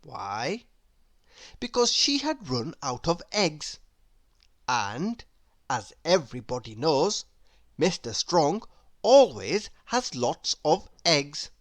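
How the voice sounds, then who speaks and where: A woman narrates a children's storybook in a slightly low voice with hugely varied intonation. She reads deliberately and expressively, as if to young listeners. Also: big pitch swings, dry recording. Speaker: storybook reader. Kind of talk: read-aloud narration for children.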